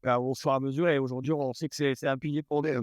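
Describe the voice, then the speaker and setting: Man with a smooth voice, halting pitch. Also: flat delivery, no room sound, studio quality. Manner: halting, many fillers and restarts. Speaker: startup co-founder. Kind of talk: casual pitch while eating hot sauces. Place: room around a table.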